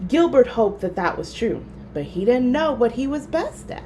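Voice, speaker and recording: A woman storyteller reading a children's book aloud, expressive and clearly phrased. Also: fairly high pitch, normal speed, slight background noise.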